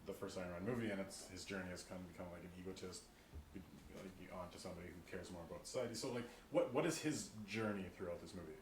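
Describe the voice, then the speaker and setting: A man's voice: low voice, fast talker. A man hosting a podcast, asking questions in casual conversation. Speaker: podcast host. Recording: echoey room, distant mic, faint noise.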